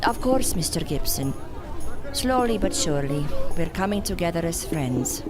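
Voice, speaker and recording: A proud, brooding female mystic, played by an actress in an audio drama. Her voice is on the low side, her diction formal and sharp-tongued, with music clearly behind it. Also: lively intonation, moderate pace, close to an everyday voice. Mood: stiffly polite.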